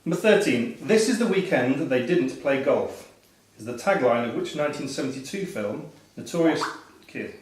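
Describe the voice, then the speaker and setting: Male quiz master, medium pitch, putting questions to contestants, recorded far from the mic in a very reverberant room. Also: animated, moderate pace. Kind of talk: reading pub quiz questions aloud to players. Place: echoey function room at a live quiz. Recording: roomy echo, distant mic, background noise.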